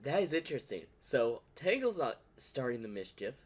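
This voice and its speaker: Reaction host, mid-range pitch with big swings, muses out loud while commenting on a comic dub. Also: sing-song, normal speed. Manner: thinks aloud, repeats phrases.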